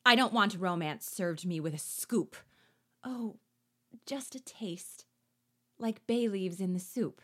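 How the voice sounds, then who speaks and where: A woman's voice: medium pitch, a bit bright. A woman voicing a romantic, easily flustered young girl who exclaims breathlessly. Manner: breathless, exclaiming, quick mood swings.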